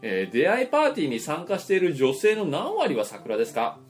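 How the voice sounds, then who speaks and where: A man's voice: fairly high pitch, wildly swooping pitch, brisk, faint music behind. A man hosting a comic radio show, using polite presenter phrasing as he reads letters and plays along as straight man. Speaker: radio host reading listener letters.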